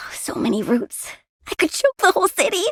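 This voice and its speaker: Super high cutesy little girl plant villain, swoops all over, sweet but creepy, video game style. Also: very animated, moderate pace, fairly bright tone, no echo, clean studio sound. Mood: dry, playful. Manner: cutesy, childlike, matter-of-fact about menace. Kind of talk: video game character voice lines.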